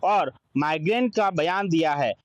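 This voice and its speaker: A man's voice: on the high side, unhurried, dry recording, dull audio. A man with an Indian accent reading scripted news narration as a reporter.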